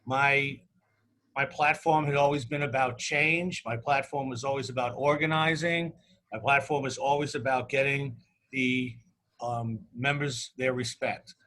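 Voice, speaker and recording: A middle-aged man hosting a semi-formal campaign discussion, speaking in halting, repetitive phrases. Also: medium pitch, sharp tone. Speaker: talk show host and union candidate.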